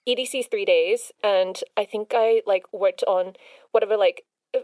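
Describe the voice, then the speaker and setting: A woman's voice: medium pitch, fairly even intonation, normal speed, darkish tone, dry recording. A young woman from New York with an American accent tinged with Australian, chatting casually as a podcast guest and filling her talk with "like". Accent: American with some Australian. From Long Island, New York, USA. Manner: chatty, heavy use of "like". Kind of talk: casual chat.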